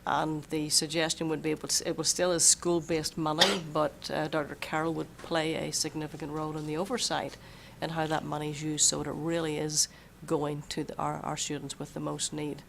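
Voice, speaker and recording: A superintendent who is losing their voice a bit, explaining a budget at a school board meeting in a measured way and repeating words while thinking. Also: medium pitch, monotone, vocal fry, noisy background. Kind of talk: budget presentation at a public meeting.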